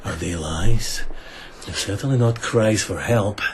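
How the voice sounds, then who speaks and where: A man's voice: lowish voice, measured pace, slight background noise. An actor playing a menacing, mocking bandit swordsman in a radio drama, condescending and mock-courteous. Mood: taunting, lecherous.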